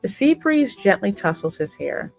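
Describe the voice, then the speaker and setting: A woman's voice: mid-range voice, sing-song, normal speed, creaky, darkish tone, faint music behind. A woman hosting a gaming channel, chatting casually as she reacts to a game and reads its narration aloud.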